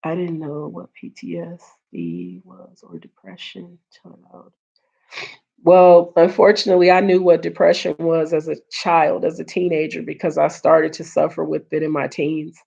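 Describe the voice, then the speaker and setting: A woman hosting a casual live stream, earnest and repeating her points, greeting viewers, her voice getting hoarse. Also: sits low, little pitch movement, moderate pace, warm tone. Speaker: livestream host. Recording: dull lo-fi stream audio.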